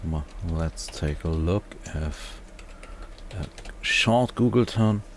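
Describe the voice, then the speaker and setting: Deep male voice, slow delivery, casual reviewer, halting and unscripted. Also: background noise. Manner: halting, searching for words, often chuckling to himself. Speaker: reviewer, video host. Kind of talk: casual off-the-cuff book review.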